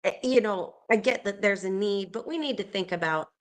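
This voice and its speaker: A woman's voice: mid-range voice, fairly quick. A woman making an earnest point in a video-call committee meeting, repeating words as she thinks. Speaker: committee member.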